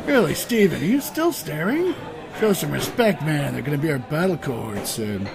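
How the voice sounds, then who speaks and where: A man's voice: fairly high pitch, sing-song, moderate pace, creaky, crowd noise. A man acting a wisecracking, skeptical adventurer who leads his band in a comic fantasy audio drama, talking casually and sarcastically. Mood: dismissive. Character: wisecracking, skeptical, down-to-earth male adventurer leader. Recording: music under the voice.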